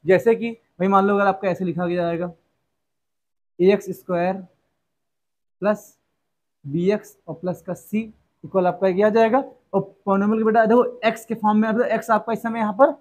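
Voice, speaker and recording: High-voiced man teaching maths to students. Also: fairly even intonation, normal speed, darkish tone. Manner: repetitive rhetorical questions, addresses students as "beta". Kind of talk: classroom lesson explanation.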